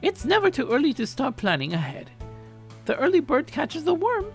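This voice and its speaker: A man voicing a doting, energetic grandmother of about eighty in a story, playfully crafty and coaxing. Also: very high-pitched, normal speed, soft background music, pitched above the performer's own voice, heavily acted. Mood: teasing. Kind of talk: character voice in a story reading.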